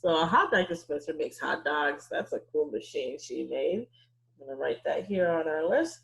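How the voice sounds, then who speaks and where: A woman with a fairly low, slightly husky voice teaches young children. She is animated and playful, guiding them through a read-aloud and asking them questions as she goes. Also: moderate pace, low-quality recording. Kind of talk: interactive read-aloud lesson.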